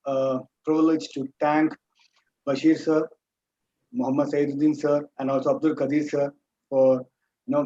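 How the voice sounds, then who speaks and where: A man's voice: medium pitch, a little mellow, breathy edge, highs cut off. A man whose first language is Urdu, giving an earnest motivational talk to students, repeating key points and calling them his dear children. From India. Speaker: motivational speaker and trainer.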